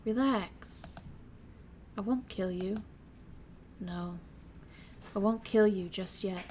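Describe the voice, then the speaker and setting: A woman voicing a cruel teenage girl who speaks with quiet, mocking menace. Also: medium pitch, expressive, a little slow, a little hiss or hum. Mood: menacing.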